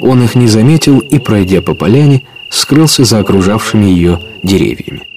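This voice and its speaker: Low-pitched male storyteller. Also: animated, normal speed, darkish tone, a little hiss or hum. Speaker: narrator. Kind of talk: scripted storybook narration for radio play.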